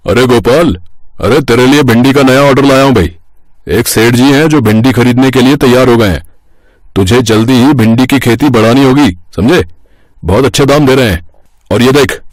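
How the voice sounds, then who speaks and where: A man plays a village merchant in a cartoon morality tale. He is hearty and brisk about business, in a medium-pitched voice. The sound is dry. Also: dry recording, close to an everyday voice. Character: chummy, brisk village merchant bringing orders. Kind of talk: cartoon moral story acting.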